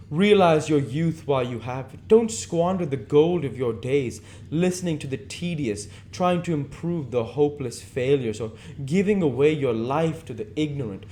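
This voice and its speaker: A man voicing a languid, persuasive aristocratic gentleman from a novel, lecturing emphatically on how one should live. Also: on the high side. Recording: noticeable background noise.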